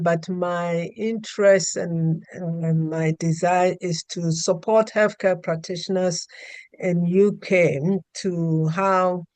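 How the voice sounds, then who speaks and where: A woman's voice: fairly low pitch, a little mellow, clean tone, no room sound. A woman, a healthcare practitioner, introducing herself earnestly on a video call. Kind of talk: self-introduction on a group call.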